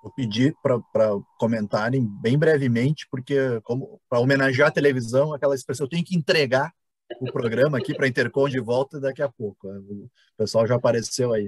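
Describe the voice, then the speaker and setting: A Brazilian man hosts an online academic discussion in an informal way. His mid-pitched voice has a husky edge, and the sound is dry, with little room echo. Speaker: host and moderator of an online panel. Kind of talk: informal academic live-stream moderation.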